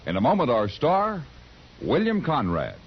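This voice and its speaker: A man's voice: mid-range voice, wildly swooping pitch, normal speed, heavy background noise. An American man announcing in a scripted 1950s radio style for program credits and sponsor ads. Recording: dull vintage radio recording. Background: loud music.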